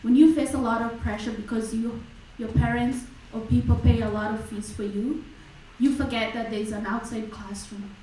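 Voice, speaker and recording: Young woman, student candidate, a bit high-pitched; measured and direct, distant in an echoing room. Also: fairly even intonation, mellow, slight background noise. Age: young adult. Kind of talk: personal statement in debate. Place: university lecture hall. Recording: echoey lecture hall, distant mic.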